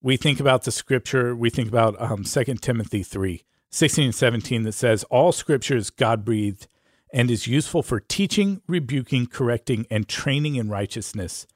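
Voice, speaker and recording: A man co-hosts a podcast, talking informally and explaining in plain, everyday phrases. His pitch is middling for a man, and the sound is dry, without much room in it. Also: moderate pace, no room sound. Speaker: podcast co-host. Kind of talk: casual conversational podcast talk.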